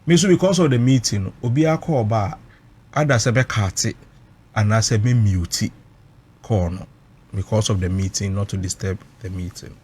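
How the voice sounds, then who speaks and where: A man's voice: on the low side, normal speed, darkish tone, a little hiss or hum. A Ghanaian man recounting personal experience emotionally, mixing Twi and English, emphatic and dramatic, repeating phrases for weight.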